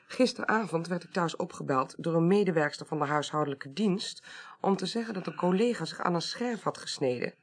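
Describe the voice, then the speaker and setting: A woman playing a sharp, determined investigator in a Dutch radio drama, speaking deliberately and insistently. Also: lowish voice. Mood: matter-of-fact. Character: sharp, determined investigator, convinced of foul play.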